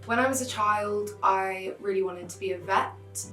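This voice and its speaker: A woman's voice: medium pitch, normal speed, recorded from a distance. A nineteen-year-old woman from England answering interview questions. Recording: echoey room. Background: soft guitar music.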